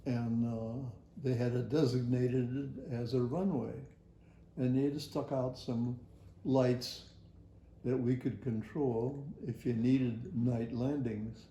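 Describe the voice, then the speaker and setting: An older man tells a personal story from his working life in a halting, rambling, folksy way. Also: mid-range voice, steady tone, warm tone, roomy sound, slight background noise. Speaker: retired air traffic facility worker. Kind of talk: personal oral history storytelling.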